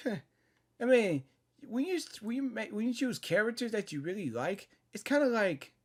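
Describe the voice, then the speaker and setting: An excitable reaction video host giving casual, off-the-cuff commentary full of slangy exclamations. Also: medium pitch, unhurried, slightly rough. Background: game video audio and music.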